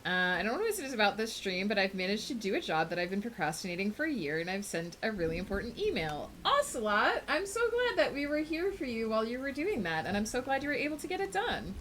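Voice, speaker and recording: A woman livestreamer in casual chat with her viewers, rambling thoughtfully with many fillers and brightening up to greet people in chat. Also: fairly high pitch, big pitch swings, quick pace, hardly any pauses, slight background noise. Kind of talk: casual chat with a live audience.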